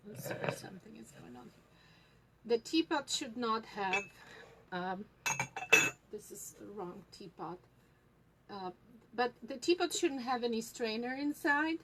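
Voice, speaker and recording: A woman with a Polish accent leads a live lesson at a very leisurely pace, pausing often with small fillers as she explains things to viewers. A faint ambient hiss sits behind her voice. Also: fairly high pitch, animated. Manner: unhurried, many fillers and pauses. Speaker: tea shop host teaching tea leaf reading.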